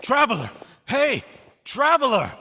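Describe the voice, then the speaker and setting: A man's voice: extremely high pitch, huge pitch swings, unhurried, long reverb tail, very noisy, full character voice. A man voicing a desperate, obsessive convict in a video game, stammering, repeating himself and pleading. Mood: frantic, pleading. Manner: stammering, repetitive, frantic. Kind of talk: English video game voice acting.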